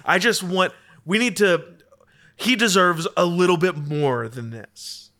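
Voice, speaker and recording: Bright-voiced, rambling male player. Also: high-pitched, measured pace. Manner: rambling, stammering restarts, apologetic. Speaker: tabletop game player. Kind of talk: in-character roleplay chat.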